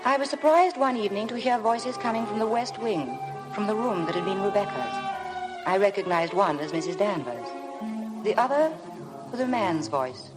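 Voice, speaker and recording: Woman acting a timid young British wife, refined, hesitant, mid-pitched, vintage radio drama, prominent music. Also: lively intonation, moderate pace, lightly acted, low-quality recording. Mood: hushed, apprehensive narration. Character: meek, nervous young English wife, eager to please.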